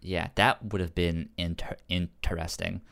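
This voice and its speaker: A man's voice: low voice, level tone, normal speed, vocal fry, a bit bright. A man co-hosting a podcast, casually discussing comic books, with a stutter that repeats sounds and syllables. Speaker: podcast co-host.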